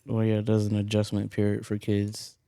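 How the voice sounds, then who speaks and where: A young man co-hosting a casual podcast, asking questions and explaining points in a steady, plain way. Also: on the low side, moderate pace, no room sound, studio quality. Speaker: podcast co-host. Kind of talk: casual interview chat. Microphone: close studio mic.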